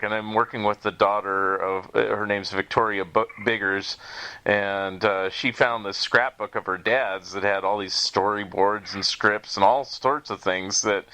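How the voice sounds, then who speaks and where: A man's voice: fairly low pitch, pushed and bright. A man hosting a casual interview show and talking as an author, in an easygoing, self-deprecating way with frequent chuckles. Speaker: podcast host and author.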